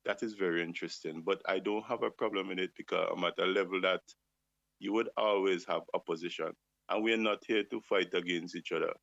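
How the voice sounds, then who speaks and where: Deep-voiced impassioned man. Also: fairly even intonation, brisk, vocal fry, fairly bright tone, dry recording. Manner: impassioned, asks rhetorical questions. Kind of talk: talk show discussion. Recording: dull lo-fi dry sound.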